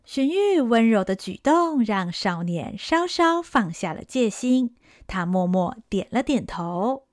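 A woman narrating an audio drama in a smooth, expressive storytelling manner. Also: on the high side, slow, no echo, studio quality.

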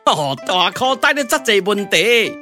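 Know-it-all pioneer soldier, Taiwanese accent, bright forceful voice, theatrical explaining, puppet radio drama, music behind. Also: mid-range voice, sing-song, measured pace. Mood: explanatory.

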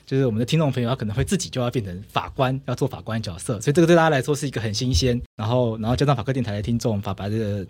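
Quick, slightly husky male podcast host. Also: medium pitch, a little mellow, no echo. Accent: Taiwanese Mandarin. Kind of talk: conversational podcast interview.

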